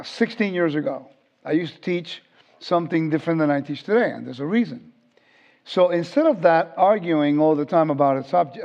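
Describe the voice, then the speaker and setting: A man in his fifties from Puerto Rico, giving an informal Bible lesson and often quizzing his class. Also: fairly high pitch. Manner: conversational, asks the audience questions. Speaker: Bible teacher. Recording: dull lo-fi sound.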